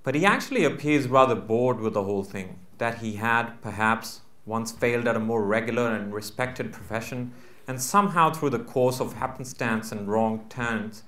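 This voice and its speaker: A man with a mid-pitched voice reads literary fiction aloud to a live gathering. The recording is clearly roomy, with the echo of the venue around his narration. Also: fairly bright tone. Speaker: reader at a book launch. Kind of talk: live literary short story reading. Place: live book launch venue.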